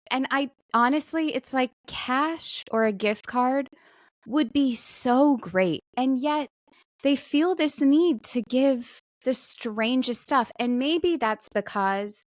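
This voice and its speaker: A woman's voice: on the high side, dry recording. Woman bantering in a close, dry recording. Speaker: podcast co-host. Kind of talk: casual comedic chat.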